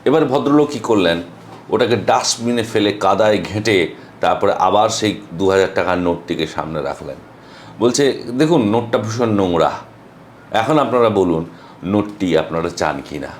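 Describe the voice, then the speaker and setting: A man with an Indian Bengali accent delivering a motivational monologue as a storyteller, earnest and emphatic, with dramatic pauses and some English phrases mixed in. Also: on the low side, lively intonation, a little hiss or hum. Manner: mixes English phrases into speech. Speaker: motivational vlogger.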